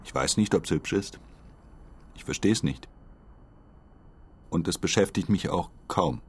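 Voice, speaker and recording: An actor playing an earnest, long-winded envoy in a radio drama, speaking politely and articulately. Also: male voice, low voice, unhurried, darkish tone, slightly rough, whispered. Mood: frank, earnest. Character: earnest, wordy male envoy pitching for a mysterious client.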